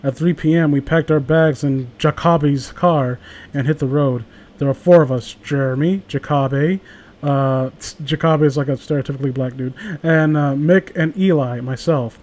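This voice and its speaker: A man's voice: a little high, steady tone, normal speed, a little mellow. A man reading a story aloud casually with a friend, joking, swearing and breaking off for sarcastic asides. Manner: sarcastic, profane, interrupts own reading with asides.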